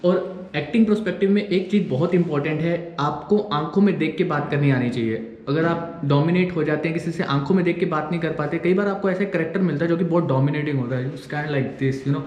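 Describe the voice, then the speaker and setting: A man's voice: on the high side, a little fast, darkish tone, muffled recording. A young man with an Indian accent giving informal instructional tips in a casual vlog, switching between two languages. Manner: informal, explanatory. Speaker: vlogger giving acting tips. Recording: echoey room with background noise.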